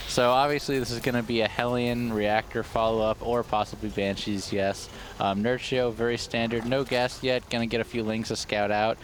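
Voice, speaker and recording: A man's voice: mid-range voice, steady tone, moderate pace, hardly any pauses, slight background noise. A man commentating a video game match live, enthusiastic and bantering with his co-caster. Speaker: esports caster.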